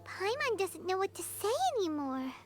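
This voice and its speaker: A woman's voice: extremely high pitch, sing-song, moderate pace, faint music behind. A woman voicing a small, childlike, naive companion creature in a video game. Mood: bewildered. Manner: speaks of self in third person.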